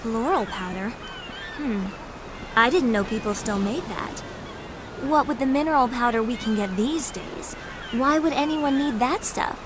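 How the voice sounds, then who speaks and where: An actress playing a condescending townswoman in a fantasy game. Her voice is fairly high, with a patronizing, dismissive delivery. There is clear background ambience around her. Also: unhurried, background noise, natural voice. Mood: puzzled, dismissive. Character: condescending, matter-of-fact townswoman brushing off a seller. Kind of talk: video game cutscene voice acting.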